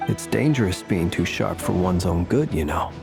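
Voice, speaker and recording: A man playing a wry, easygoing warrior who guards a lighthouse in a video game, speaking in a measured way. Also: low-pitched, lively intonation, gravelly, over music.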